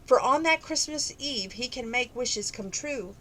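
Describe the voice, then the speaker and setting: A woman voicing a kindly old Father Christmas in a children's storybook, speaking slowly and softly in a lowered voice. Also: animated, a little hiss or hum.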